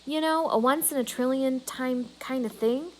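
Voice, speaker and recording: A woman's voice: high-pitched, moderate pace, voice pushed up, natural voice. A woman voicing a skeptical, hesitant father in a storybook, doubtful and trying to reason.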